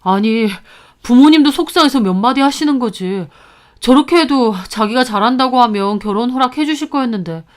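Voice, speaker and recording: A woman's voice: a little high, a little fast, close to an everyday voice. Spineless boyfriend guy, as a woman narrator puts him on, whiny and pleading. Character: weak-willed young man, ex-boyfriend.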